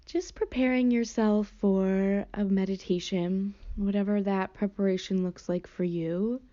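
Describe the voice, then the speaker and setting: A woman guiding a meditation in a soft, slow, gentle way. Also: medium pitch, steady tone, highs cut off. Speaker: meditation teacher. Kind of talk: guided meditation.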